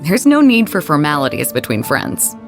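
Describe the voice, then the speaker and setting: A woman playing an easygoing, hearty sea captain in a video game, talking in a breezy, informal, expressive way. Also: deep voice, moderate pace, music in the background. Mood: breezy, casual.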